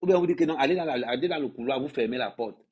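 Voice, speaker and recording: Husky man scolding his followers. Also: medium pitch, racing, a little mellow. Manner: emphatic, scolding, repeats phrases for effect. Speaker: social media influencer. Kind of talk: livestream rant to followers.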